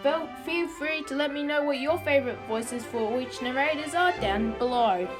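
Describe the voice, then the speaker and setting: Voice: high-pitched, moderate pace, music in the background. A video host giving informal, opinionated commentary in a casual style.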